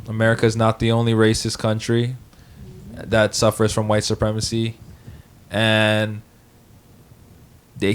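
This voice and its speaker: A young man from Hawaii, a podcast co-host, talking casually, joking and swearing, then speaking softly and thoughtfully about his family. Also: mid-range voice, clear, resonant tone. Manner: joking, profane, then reflective and soft-spoken. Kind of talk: casual group chat, storytelling.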